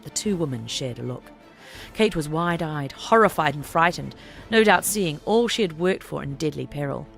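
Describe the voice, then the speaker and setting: Low-voiced woman narrating a dramatic story. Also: animated, normal speed, creaky, soft background music. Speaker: audiobook narrator.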